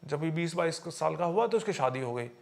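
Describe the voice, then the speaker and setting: A man's voice: a little high, lively intonation, fairly quick, gravelly. A man telling stories conversationally on a podcast, explaining as he goes and checking in with his listener.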